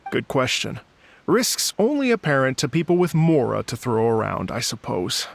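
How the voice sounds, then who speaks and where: A man voicing a thoughtful, polite musician in a video game, speaking in a measured, courteous, gently hesitant way. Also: mid-range voice, big pitch swings, creaky. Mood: measured, tactful. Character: thoughtful, polite male musician, calm storyteller.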